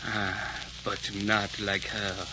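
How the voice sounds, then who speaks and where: A man's voice: lowish voice, completely flat delivery, drawn-out words. An actor in a 1940s radio drama playing a fawning French manservant, gushing and deferential to his master. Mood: admiring. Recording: muffled, hissy 1944 radio recording.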